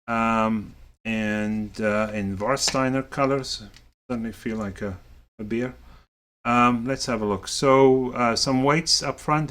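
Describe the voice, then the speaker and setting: Man with a South African-Canadian accent, mid-pitched, an easygoing organizer who rambles with fillers. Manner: rambling, filler-heavy, relaxed. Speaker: series organizer. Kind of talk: casual show-and-tell video.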